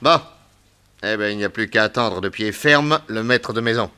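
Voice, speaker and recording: An actor playing a self-assured, amused detective with a theatrical, teasing manner in a French radio detective play. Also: male voice, lowish voice, moderate pace, sharp tone, smooth. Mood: amused, brisk. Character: cool, amused male detective posing as a gentleman thief.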